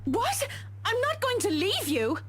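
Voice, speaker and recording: Frightened woman, very high pitch, indignant, pleading, video game acting, faint hum behind. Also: sing-song, measured pace, exaggerated voice. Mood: indignant, exasperated. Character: frightened, weakened woman, protesting and exasperated. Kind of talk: visual novel game voice acting.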